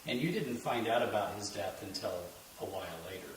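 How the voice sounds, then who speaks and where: A man interviewing an elderly guest, giving soft, encouraging prompts. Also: lowish voice, expressive, fairly quick. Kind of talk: interview questions. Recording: distant, very echoey, faint hiss.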